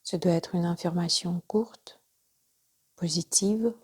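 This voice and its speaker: A woman leading a guided yoga nidra relaxation as instructor, speaking softly and breathily, often near a whisper, in calm, measured short phrases. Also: lowish voice, husky.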